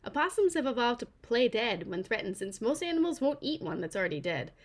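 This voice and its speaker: A woman reading the part of a calm, practical neighbor of about seventy in a children's story, matter-of-fact and explanatory. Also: fairly high pitch, brisk. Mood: calm explaining.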